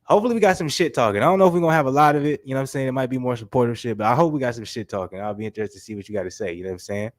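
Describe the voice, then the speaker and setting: A man hosting a casual boxing talk show while sick with a stuffy nose, talking in slangy, animated bursts and filling his speech with "you know what I'm saying". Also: mid-range voice, very fast, hardly any pauses, no room sound, studio quality. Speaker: host.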